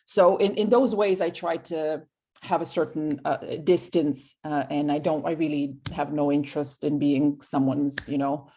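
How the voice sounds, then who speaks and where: A woman's voice: deep voice, steady tone, moderate pace, dark tone, a little hiss or hum. A woman from Croatia, an author talking in an online panel discussion, hesitant with many restarts.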